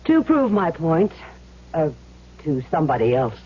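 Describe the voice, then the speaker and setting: A woman's voice: low-pitched, very animated, unhurried. An actress playing a feisty, principled, big-hearted woman in an old-time radio drama, blunt, defiant and emphatic. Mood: indignant. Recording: dull old radio recording, faint hum.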